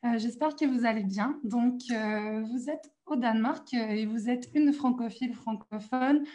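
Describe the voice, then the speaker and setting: Mellow-voiced woman hosting a radio interview. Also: a little high, a little slow. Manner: friendly, with frequent fillers. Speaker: radio host.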